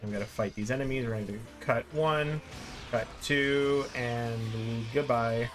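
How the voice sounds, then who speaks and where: A man's voice: mid-range voice, unhurried. Casual man commentating a game, faint music. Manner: rambling, self-deprecating, informal. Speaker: streamer playing and explaining a game. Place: live stream with game music behind.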